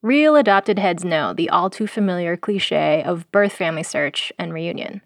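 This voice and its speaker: A woman's voice: fairly low pitch, creaky, no room sound, clean studio sound. A woman born in South Korea and raised in the US, hosting an audio documentary in a wry, sardonic voice with pop-culture slang. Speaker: producer and host. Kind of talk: scripted audio documentary narration.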